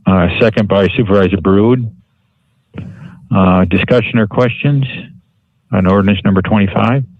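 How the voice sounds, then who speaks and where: Lowish-voiced man chairing a formal meeting. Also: normal speed, no echo, phone-quality sound. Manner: procedural, formulaic calls for motions and votes. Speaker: board chairman running the meeting. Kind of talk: formal parliamentary procedure. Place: government board meeting.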